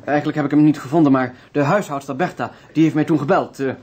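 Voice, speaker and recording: An actor playing a flustered, anxious and deferential son of a notary in a Dutch radio drama, stammering and repeating himself. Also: male voice, a little high, fairly even intonation, quick pace, darkish tone, natural voice. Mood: nervous, apologetic. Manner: stammering, repetitive, nervous.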